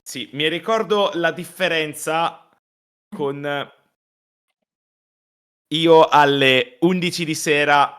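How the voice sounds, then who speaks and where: A man chatting casually as co-host of a stream, telling teasing anecdotes in an animated way and mimicking quoted lines. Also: on the high side, slow, long pauses, smooth.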